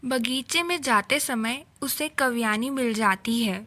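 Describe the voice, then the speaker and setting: A woman's voice: fairly high pitch, a little slow, pushed and bright. A woman reading a scripted children's story as its narrator.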